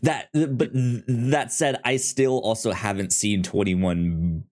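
A man hosting a casual review podcast, speaking in halting, conversational phrases that often double back on repeated words. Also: mid-range voice, steady tone, a little mellow. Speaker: podcast host.

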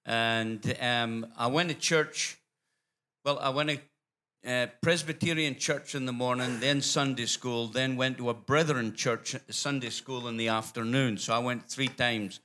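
Older Scottish man with a bright voice. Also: mid-range voice, fairly even intonation, a little slow. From Scotland. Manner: rambling storyteller with frequent restarts and laughter. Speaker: interview guest. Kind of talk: testimony in a church interview.

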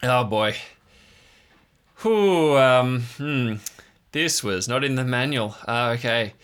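A man voicing a flustered, earnest park ranger with a halting, nervous, reassuring way of talking. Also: medium pitch, animated, fairly bright tone, clean tone. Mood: flustered, anxious.

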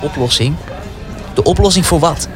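A man's voice: on the high side, moderate pace, soft background music, some chatter behind, lightly acted. An actor playing a young man in a wartime radio drama, a sceptical and dutiful brother who is dry and reluctant.

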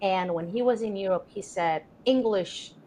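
A woman's voice: medium pitch, moderate pace, mellow, a little hiss or hum, low-quality recording. A middle-aged woman from Colombia, a Spanish speaker, telling her story as a podcast guest in an earnest, reflective way with many fillers. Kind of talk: casual interview storytelling.

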